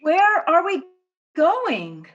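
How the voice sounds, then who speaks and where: A woman's voice: very high-pitched, wildly swooping pitch, extremely slow, lo-fi sound, full character voice. An actress playing a frightened, anxious wife and mother in an old-time radio drama, hesitant and pleading.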